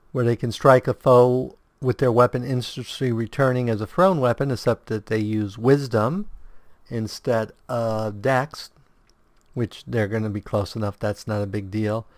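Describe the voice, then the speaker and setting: A man's voice: mid-range voice, fairly even intonation, no echo. A man talking through a video game guide with a sore, strained throat. His voice sits mid-range, recorded dry and close at a home desk. He explains step by step, stumbling over words and fixing them as he goes. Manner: halting, self-correcting explainer. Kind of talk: casual game walkthrough.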